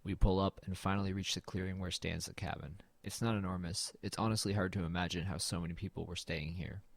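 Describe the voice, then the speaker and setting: A man acts out a weary, wry young man from a bleak road-trip audio drama. The voice sits very low and is recorded dry and close. He narrates and talks in an understated, brooding manner. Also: flat delivery, fast talker, vocal fry, dry recording. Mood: somber narrating. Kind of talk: scripted first-person narration and low-key dialogue in an audio drama.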